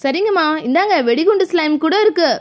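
A woman's voice: very high-pitched, animated, quick pace. A woman voicing a female street seller calling out her wares to children in a toy story. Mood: coaxing.

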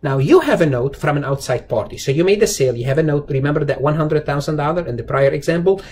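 A man with a slightly high voice teaches in a conversational lecture style. He repeats key phrases for emphasis and puts rhetorical questions to the learner. There is some faint noise in the recording. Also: fast talker, darkish tone. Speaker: accounting lecturer. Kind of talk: explanatory lecture over slides, informal and conversational. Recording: noticeable background noise.

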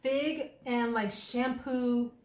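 A woman's voice: a little high, steady tone. A woman hosting a casual perfume review, talking in a chatty, thoughtful way. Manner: chatty, thoughtful, drawn-out pronunciations. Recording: echoey room.

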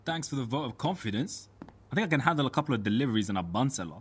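A man's voice: medium pitch, expressive, rapid-fire, husky. A man acting in a radio soap as a put-upon, flustered and defensive husband minding a village shop. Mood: indignant.

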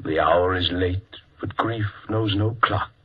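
An actor playing a pompous, mournful funeral parlor attendant in a 1950s radio drama. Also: male voice, low-pitched, a little slow, slightly rough. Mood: solemn. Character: solemn, unctuous male funeral parlor attendant. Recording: muffled old radio recording.

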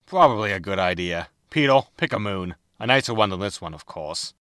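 Man acting a low-voiced, wry space captain. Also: animated, brisk, fairly bright tone, dry recording, clean studio sound. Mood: wry, breezy. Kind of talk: sitcom audio drama acting.

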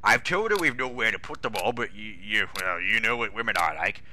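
A man's voice: on the low side, lively intonation, brisk, bright, forceful tone, slight background noise. A man voicing a pompous, exasperated older railway boss with a British accent, grumbling indignantly in a comic dramatic reading.

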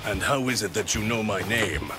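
Actor as a low-voiced, solemn warrior general. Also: male voice, expressive, over music, natural voice. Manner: formal, solemn, measured. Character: stern, loyal, honorable ancient Chinese warrior general. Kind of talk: video game cutscene acting.

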